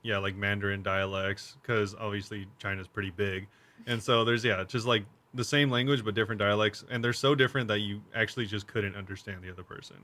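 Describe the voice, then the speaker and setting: A man's voice: lowish voice, steady tone, a little fast, sharp tone. A young man co-hosting a podcast, talking in a laid-back, dry way with short replies. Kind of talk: casual chat.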